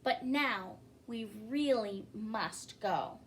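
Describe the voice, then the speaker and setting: A woman's voice: a little high, very slow, slight background noise. A woman voicing a brave, kind young girl knight in a children's storybook, earnest and encouraging. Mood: kind, resolute.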